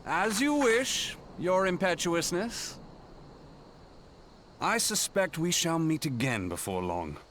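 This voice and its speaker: A man's voice: a little high, big pitch swings, takes it slowly, a bit bright, a little hiss or hum, exaggerated voice. A man playing a wry, urbane, perceptive adventurer in a fantasy game, with teasing and theatrically formal diction. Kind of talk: fantasy game cutscene acting.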